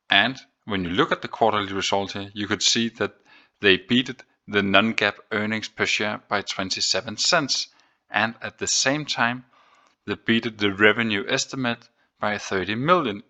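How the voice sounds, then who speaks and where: Male explainer, lowish pitch, very sharp forward tone, methodical walk-through delivery for screen-share commentary. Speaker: host of an investing video series.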